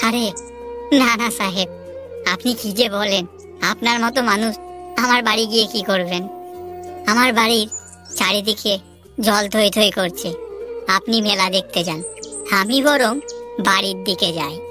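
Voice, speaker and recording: A woman's voice: medium pitch, measured pace, long pauses, voice pushed up, close to an everyday voice. A woman voicing a humble, honest, poor village labourer who speaks politely and deferentially in a story cartoon, over music. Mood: humble, helpful. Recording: music behind the voice.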